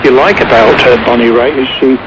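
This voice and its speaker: A man's voice: medium pitch, steady tone, a little fast. Muffled, hesitant man on noisy shortwave radio. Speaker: radio music reviewer guest. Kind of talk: casual music commentary in conversation. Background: shortwave static and noise.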